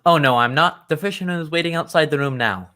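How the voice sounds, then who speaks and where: Man acting courteous, earnest gentleman sidekick, radio drama. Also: a little high, quick pace. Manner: courteous, formal, old-fashioned phrasing.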